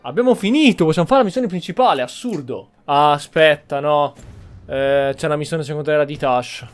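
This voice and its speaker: A man's voice: on the high side, fast, clean tone, soft background music. A man giving casual, off-the-cuff gameplay commentary as he streams. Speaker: game streamer playing through a roleplay run.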